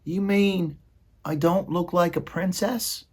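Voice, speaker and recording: A man voicing a dim, weepy young serving girl with a high, shrill, whining and coarse way of talking. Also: steady tone, takes it slowly, a little mellow, slightly rough, full character voice. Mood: whiny.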